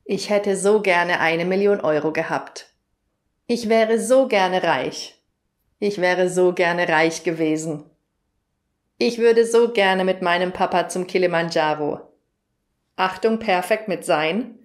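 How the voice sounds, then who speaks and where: A German woman teaching grammar in a lesson video, explaining clearly and asking the viewer questions. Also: lowish voice, slow pace.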